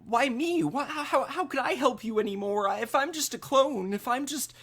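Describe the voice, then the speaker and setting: A man's voice: very high voice, normal speed, fairly bright tone, husky, pitched above the performer's own voice, heavily acted. A man voicing a distraught woman who has found out she is a clone, stammering, breathless and pleading in a tabletop roleplaying game. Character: panicked, sobbing young woman who learns she's a clone.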